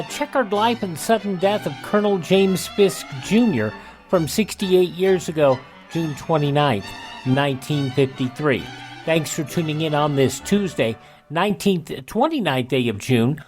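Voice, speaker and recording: A man's voice: on the high side, moderate pace, darkish tone, soft background music. A man with an American accent hosting a radio show, reading out historical dates in a scripted almanac style.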